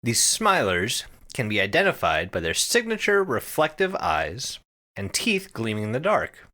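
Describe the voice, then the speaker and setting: A man co-hosting a podcast, talking casually and describing things in an animated way with casual swearing. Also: medium pitch, unhurried. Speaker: podcast co-host.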